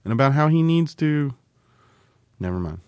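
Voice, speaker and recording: A man's voice: on the high side, sing-song, moderate pace, a little mellow, clean tone, no room sound, clean studio sound. A man co-hosting a podcast, talking casually with blunt, joking remarks. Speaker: podcast co-host. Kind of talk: casual banter and discussion.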